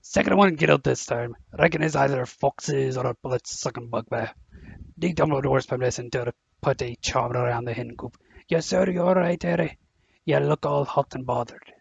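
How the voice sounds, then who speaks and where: Gruff, kindly groundskeeper played by a man, very gravelly and husky, folksy, for audio drama; dry, slightly dull sound. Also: mid-range voice, normal speed, dry recording. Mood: concerned, friendly. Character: big gruff friendly groundskeeper, a middle-aged man. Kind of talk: audio drama character acting.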